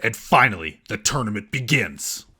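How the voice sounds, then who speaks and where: Casting: male comedy reviewer, mocking delivery, lowish and raspy, reading a scripted recap. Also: animated, normal speed, pushed and bright. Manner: sarcastic, mocking. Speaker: comedic film reviewer and host.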